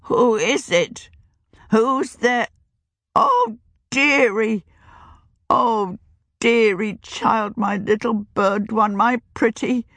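A frightened old witch woman pleads in a high, quavering voice, begging to die, as a woman narrator puts her on. The storybook voice sits well above the reader's own, and the dry close recording has no room sound. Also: animated, very slow, long pauses, fairly bright tone, no room sound, full character voice. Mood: fearful pleading.

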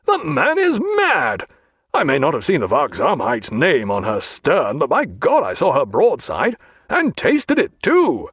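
A man voicing a proud naval captain who speaks sternly and forcefully. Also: medium pitch, sing-song, moderate pace, no echo, clean studio sound. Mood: stern indignant.

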